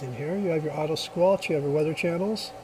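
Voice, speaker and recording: A man's voice: fairly high pitch, quick pace, background noise. A man giving a casual hands-on product demonstration, explaining step by step in a plainspoken way. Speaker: product reviewer.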